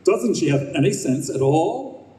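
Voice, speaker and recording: A man's voice: on the high side, animated, measured pace, warm tone. An actor in a live radio play as a stubborn, anxious middle-aged husband and father, grumbling and earnest. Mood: indignant. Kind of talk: live old-time radio play acting. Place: live stage at a convention. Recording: roomy, distant, faintly noisy stage recording.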